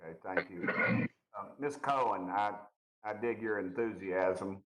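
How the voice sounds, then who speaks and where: Echoey low-voiced Tennessee congressman. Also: a little slow, a little hiss or hum. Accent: Southern US, Tennessee. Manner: folksy, courteous, plain-spoken. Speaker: congressman questioning witnesses. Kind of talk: informal questioning at a formal hearing. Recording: roomy, echoing hearing audio.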